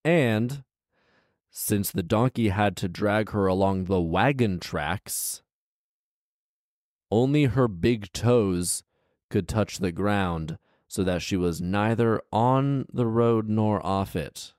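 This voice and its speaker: Low-voiced guy riffing, dry close sound. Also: takes it slowly, a little mellow, no echo. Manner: incredulous, wry, slangy asides, repeats himself emphatically. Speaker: podcast host reading and riffing on a fairy tale. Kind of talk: casual comedic commentary on a story read aloud.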